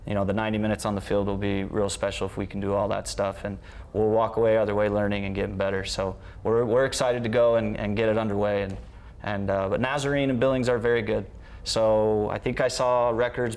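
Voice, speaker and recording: A man's voice: fairly low pitch, darkish tone. A man speaking as a coach in a conversational interview. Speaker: soccer coach.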